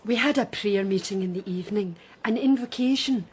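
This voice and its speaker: An actress playing a devout, dreamy cult follower, earnest and rapt, in a radio drama. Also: female voice, medium pitch, fairly quick, slightly rough.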